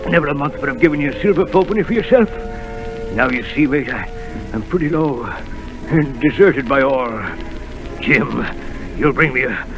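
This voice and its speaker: A man playing a gravelly old sea captain who swings from a low growl to a high shout. The old radio recording carries music behind him. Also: lively intonation, normal speed, long pauses, natural voice, low-quality recording. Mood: desperate. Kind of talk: 1930s radio drama acting.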